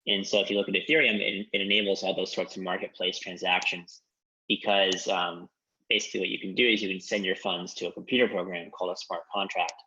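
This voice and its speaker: A man with a very low, flat voice that still sounds bright and forceful. He explains at a measured pace, filling pauses with ums. The sound is dull and lo-fi, as on a conference call line. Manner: measured, explanatory, frequent ums and you-knows.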